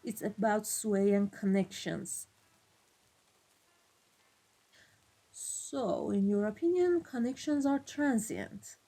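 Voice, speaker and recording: A woman playing a cold, commanding vampire mafia boss in an ASMR roleplay, speaking in a deliberate, imperious way. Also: mid-range voice, mellow, slightly rough. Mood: cool menace. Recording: music behind the voice. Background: classical background music.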